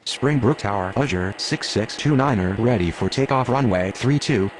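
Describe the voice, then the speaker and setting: Clear vehicle noise sits behind a mid-pitched male aviation voice acted by a man. He makes formal, businesslike radio calls as pilot and tower controller in a flight game. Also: expressive, moderate pace, natural voice. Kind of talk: scripted aviation radio phraseology.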